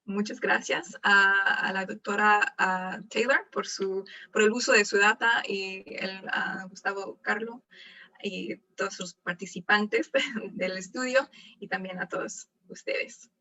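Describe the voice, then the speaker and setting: A woman wraps up an academic presentation. Her voice is bright and forward. She speaks in a measured way, with small restarts. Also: mid-range voice, fairly even intonation, muffled recording.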